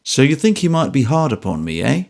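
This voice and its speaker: A man's voice: mid-range voice, very animated, brisk, vocal fry, a little mellow. A man voicing a big, composed ex-soldier with blunt, dry speech.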